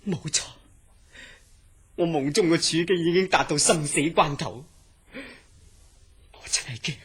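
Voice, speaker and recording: A man's voice: fairly high pitch, takes it slowly, fairly bright tone, exaggerated voice. A man playing a terrified, melodramatically pleading mental patient haunted by nightmares in a Cantonese horror radio drama. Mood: haunted dread. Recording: clearly noisy audio.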